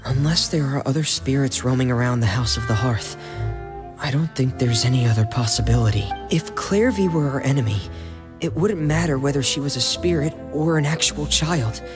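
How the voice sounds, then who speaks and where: A shy, earnest teenage boy from a video game voiced by an adult actor, mid-pitched and soft-spoken. He speaks with hesitant sincerity over loud background music. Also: moderate pace, close to an everyday voice. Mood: earnest, resolute. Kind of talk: English game voice acting. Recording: music behind the voice.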